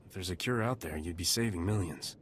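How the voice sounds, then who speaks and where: A man's voice: deep voice, a little fast, slight background noise, lightly acted. A man voicing a confident, heroic adventurer in a sci-fi video game, terse and self-assured. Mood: confident, resolute. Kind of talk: video game dialogue acting.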